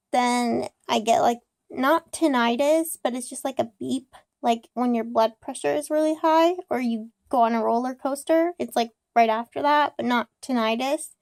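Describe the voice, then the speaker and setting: A young woman with a cold, hoarse, rambling about her day in a casual personal vlog with many ums and restarts. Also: fairly high pitch, darkish tone, no echo, studio quality. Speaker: vlogger.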